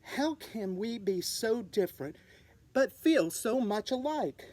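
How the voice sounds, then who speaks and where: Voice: mid-range voice, expressive, slow pace, darkish tone, voice pushed up. A storyteller voicing startled, wondering young birds in a children's storybook. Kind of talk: children's storybook read-aloud.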